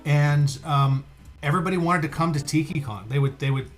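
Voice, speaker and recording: A man's voice: fairly high pitch, fairly even intonation, a little fast. Chatty man co-hosting, music behind him. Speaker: co-host of a livestream show. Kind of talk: casual chat, reading out viewer comments.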